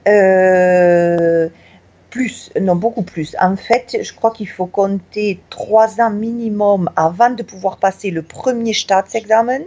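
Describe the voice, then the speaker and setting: A middle-aged woman from southwest France with a southwest French accent, a teacher answering interview questions slowly and hesitantly, with many filler pauses. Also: mid-range voice, fairly even intonation, mellow, highs cut off. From Tarn, southwest France. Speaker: teacher at a Bavarian high school.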